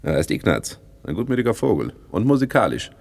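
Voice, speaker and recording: A man's voice: on the low side, big pitch swings, quick pace, gravelly, darkish tone, full character voice. An actor playing a slick, self-important small-time crook turned businessman, pompous and self-satisfied, in a radio play. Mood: smug. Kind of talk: 1960s German radio play acting.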